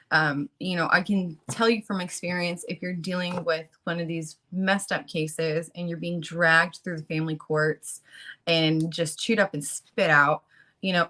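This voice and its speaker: A woman in her late twenties who just woke up, talking earnestly and haltingly, with many ums and you-knows, partly reading resources aloud. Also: on the low side, bright, forceful tone. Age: late 20s. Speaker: guest speaker on a channel about fighting child protective services.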